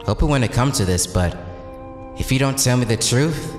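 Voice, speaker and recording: An actor playing a bold, idealistic young vigilante in an audio drama, casual, teasing and earnest. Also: male voice, medium pitch, lively intonation, normal speed, lightly acted. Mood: taunting. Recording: echoey reverberant hall, music underneath.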